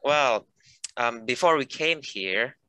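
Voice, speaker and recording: A man in his twenties from Indonesia, an international student explaining things haltingly on a video call. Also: medium pitch, lively intonation, bright, forceful tone, dry recording. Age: 20s. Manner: halting, searching for words in English.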